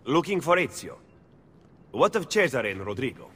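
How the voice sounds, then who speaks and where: A man's voice: sing-song, moderate pace, a bit bright, breathy edge. An actor playing a wary, serious male conspirator in a video game drama. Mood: suspicious. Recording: long reverb, rumbling background noise.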